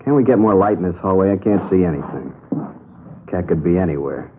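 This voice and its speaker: A man's voice: deep voice, animated, brisk, slightly rough, full character voice. A man acting a bitter, boastful, cornered killer with blunt slangy talk in an old-time radio crime drama. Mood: unnerved, desperate. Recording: noisy, tinny old radio recording.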